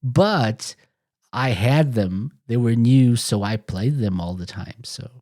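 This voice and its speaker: A man's voice: mid-range voice, lively intonation, slow pace, dark tone. A man from Portugal hosting a podcast, telling rambling stories in casual chat and correcting himself as he goes. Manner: rambling, self-correcting storyteller. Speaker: podcast host.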